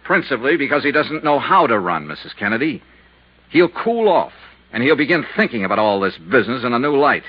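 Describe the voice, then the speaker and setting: Hard-boiled male investigator, medium-pitched and husky, blunt, muffled 1950s radio serial. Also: big pitch swings, fairly quick. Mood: pressing, grim. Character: tough, persistent male insurance investigator narrating his case. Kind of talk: 1950s radio drama acting and narration.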